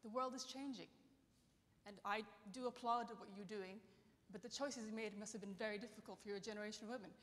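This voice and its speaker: A woman's voice: medium pitch, fairly even intonation, fast. A woman from Britain speaks up from the audience at a conference, challenging the speaker earnestly and fluently into a handheld microphone. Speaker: audience member asking a question. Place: large conference hall, seated in audience. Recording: long hall reverb.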